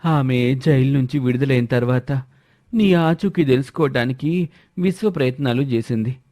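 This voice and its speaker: A man's voice: mid-range voice, dark tone, natural voice. A man voicing a concerned, kindly and inquisitive villager in a moral story. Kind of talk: Telugu moral story character acting.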